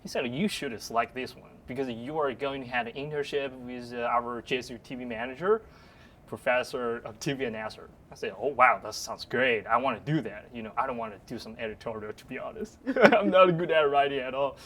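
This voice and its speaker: A young man from China, a native Chinese speaker, answering eagerly in halting, non-native phrasing as a student guest. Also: mid-range voice, fairly bright tone, slight background noise. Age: young adult.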